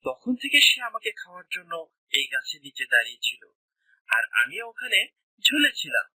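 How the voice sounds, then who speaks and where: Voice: medium pitch, very animated, normal speed, sharp tone, slightly rough, dry recording, heavily acted, rough recording. A performer voicing a grateful, friendly village man in a children's cartoon, earnest and humble. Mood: relieved, grateful.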